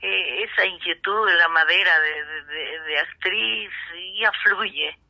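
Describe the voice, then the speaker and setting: An older woman with an Ecuadorian accent reminisces in an interview as a radio actress, pausing between phrases. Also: lowish voice, gravelly. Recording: dull, narrow-band sound.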